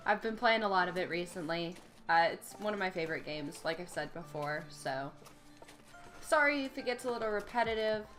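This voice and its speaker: A woman's voice: fairly low pitch, animated, moderate pace, a bit bright, faint music behind. Stuffed-up woman chatting over gameplay. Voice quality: slightly nasal. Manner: chatty, thinking aloud while playing. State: stuffed up from a cold. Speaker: let's play gamer. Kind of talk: casual gameplay commentary.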